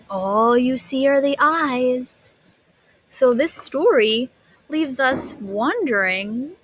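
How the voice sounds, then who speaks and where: A woman's voice: sits high, breathy edge, poor audio. A woman teacher reading a picture book aloud to young children, expressively, stretching words and putting questions to her listeners.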